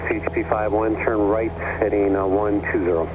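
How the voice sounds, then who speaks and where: Man, air traffic controller, monotone, brisk clipped delivery, noisy radio. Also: on the low side. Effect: aircraft radio. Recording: muffled humming noisy aviation radio.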